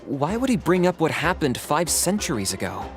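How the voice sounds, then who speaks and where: A man's voice: a little high, sing-song, normal speed, over music. An actor playing a serious, loyal warrior aide in a video game, earnest and grave in his delivery. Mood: concerned. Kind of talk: dramatic video game voice acting.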